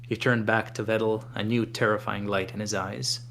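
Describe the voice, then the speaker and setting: A man's voice: on the low side, little pitch movement, normal speed, creaky, fairly bright tone, slight background noise. A man reading a fan story aloud, dropping casual asides between passages. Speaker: fanfic reader.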